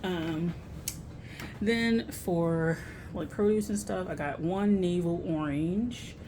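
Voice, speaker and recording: Young American female vlogger, low pitch, informal slangy delivery, noisy home recording. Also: takes it slowly. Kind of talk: casual grocery haul chat to camera.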